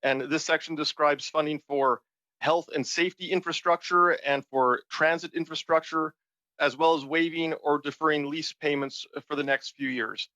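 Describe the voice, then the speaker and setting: A man speaking as a politician questioning witnesses in a formal, deliberate way at a committee hearing. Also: a little high, steady tone, pushed and bright, no echo. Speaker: member of parliament. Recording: slightly dull, lo-fi meeting audio.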